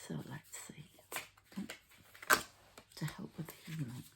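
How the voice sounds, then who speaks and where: A woman softly whispering an ASMR healing ritual, breathy and halting, as if thinking aloud. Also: sits low, steady tone, very noisy. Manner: unhurried, halting, thinking aloud while handling objects. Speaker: host, energy healer and card reader.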